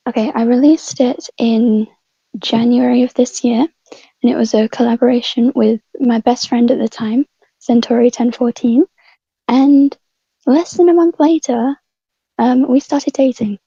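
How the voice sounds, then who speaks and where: A woman's voice: a little high, fairly even intonation, measured pace, creaky, warm tone, husky, no echo. A woman chatting casually as a panel guest, with a hesitant, shy delivery over slightly muffled voice-chat audio. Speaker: voice actor on a podcast panel.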